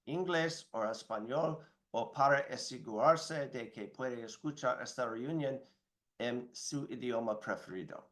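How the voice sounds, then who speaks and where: Man, webinar host, formal scripted intro, polished delivery, middle pitch.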